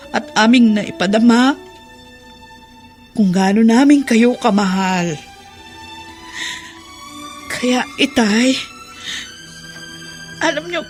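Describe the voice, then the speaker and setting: An actress playing a devoted grown Filipino daughter in a radio drama, tender, earnest and emotional as she reassures. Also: on the high side.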